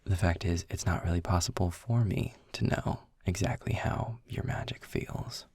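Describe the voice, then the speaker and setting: A man playing a sarcastic, worldly demon mentor in an intimate audio roleplay, with dry, deliberate phrasing and a low, husky, gravelly voice. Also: a little mellow, dry recording, whispered, heavily acted. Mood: patient, teasing. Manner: dry, sardonic, breathy asides, deliberate phrasing. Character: sarcastic, snarky adult male demon mentor, worldly and proud. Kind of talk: intimate audio roleplay acting, addressed to the listener.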